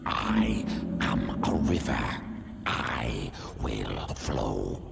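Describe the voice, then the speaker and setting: Patient ancient game creature, bottomless growl, thick gravel, terse riddling speech. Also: male voice, a bass voice, sing-song, very slow, heavy background noise, exaggerated voice. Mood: calm, cryptic. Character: primal alien beast, patient, cryptic, ancient. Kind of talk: video game cutscene acting.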